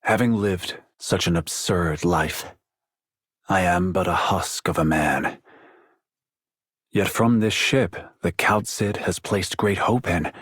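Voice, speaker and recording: A man voicing a solemn, world-weary man in a video game, speaking gravely and formally. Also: low voice, a bit bright, no room sound, clean studio sound, heavily acted. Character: world-weary, solemn man, hollowed yet faintly hopeful. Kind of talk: video game character voice lines.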